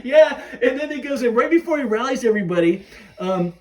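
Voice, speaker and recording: A man hosting a podcast in an excitable casual fan chat, repeating and rephrasing himself as he goes. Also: very high-pitched, brisk, recorded from a distance, a loud crowd, poor audio. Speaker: podcast host.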